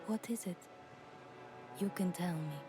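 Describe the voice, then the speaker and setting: Woman acting solemn fantasy caretaker, low female voice, very slow, hushed, formal, resigned, game music behind. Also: lightly acted. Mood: gentle.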